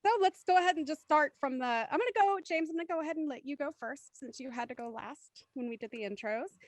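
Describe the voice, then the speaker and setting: A woman's voice: high-pitched, fast, no echo. A woman hosting a casual group chat, upbeat, steering the talk with questions to each guest. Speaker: host leading the cast discussion.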